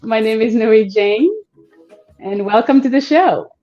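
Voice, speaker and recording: A woman's voice: on the high side, unhurried, warm tone, dull audio, faint music behind. A woman from Tanzania, a relationship and leadership coach, introducing herself cheerfully as a guest and laughing easily. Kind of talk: guest introduction on live show.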